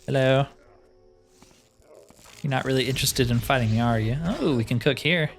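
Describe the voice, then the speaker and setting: A man's voice: mid-range voice, normal speed. Easygoing man thinking aloud over game music. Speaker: gamer narrating own roleplay playthrough. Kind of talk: casual game commentary.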